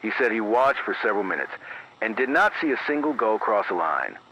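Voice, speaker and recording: An American man playing a careful, sober emergency radio news anchor, reading a scripted bulletin in a measured, deliberate way through an AM radio filter. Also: fairly low pitch, big pitch swings, bright, forceful tone, dull audio. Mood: grave, controlled. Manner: measured, deliberate, cautious, repeating key words for weight. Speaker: radio broadcaster. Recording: band-limited AM radio sound with hiss.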